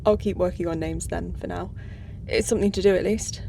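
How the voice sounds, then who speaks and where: An actress playing a thoughtful, earnest starship crew member in a sci-fi audio drama, speaking in a tentative, explanatory way. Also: female voice, on the low side, fairly even intonation, fairly quick, gravelly, warm tone, slight background noise. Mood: subdued.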